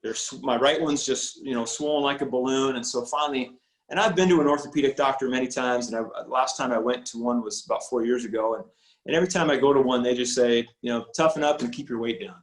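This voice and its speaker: A man in his forties, a high school football coach, talking casually on a video call, rambling with many restarts and fillers. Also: quick pace. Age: 40s. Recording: roomy echo on video call audio.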